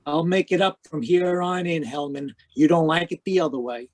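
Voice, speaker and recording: A man playing a hard-boiled, wisecracking private investigator in a 1940s-style radio noir drama, deadpan, clipped and sardonic. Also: fairly high pitch, normal speed, dry recording, close to an everyday voice. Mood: sardonic, defiant. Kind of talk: 1940s radio noir acting with first-person narration. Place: live remote video-call performance.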